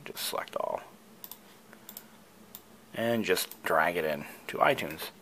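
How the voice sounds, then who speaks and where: A man's voice: low-pitched, takes it slowly, a bit bright, slight background noise. A man presenting a hobby tutorial, casually talking through each step with enthusiasm.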